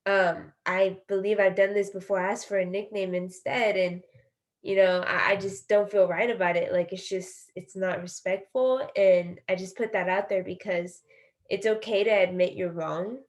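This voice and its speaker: Woman, medium pitch, casual personal sharing, self-effacing, informal phrasing full of "like". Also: steady tone, moderate pace. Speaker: panel participant.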